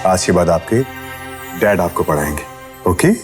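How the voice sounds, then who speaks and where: An actor plays a stern but caring father with a very low voice. He speaks firmly and deliberately, switching between Hindi and English. Dramatic audio-series delivery sits over clear background music. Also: close to an everyday voice. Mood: reassuring. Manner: firm, measured, mixes English into Hindi. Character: wealthy, protective young father, stern and caring.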